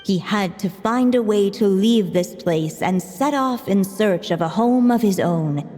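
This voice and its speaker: A woman narrating a fairy-tale story in a gentle, hushed storybook manner. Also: mid-range voice, animated, a little slow, lightly acted. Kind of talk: storybook narration in a game cutscene. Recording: long reverb with soft music.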